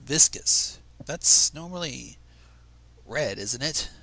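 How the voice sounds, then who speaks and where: A man's voice: mid-range voice, slow, creaky, no echo, poor audio. A man hosting a livestream fan fiction reading, narrating expressively and breaking off into casual, exasperated commentary. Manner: expressive, exasperated asides, swearing.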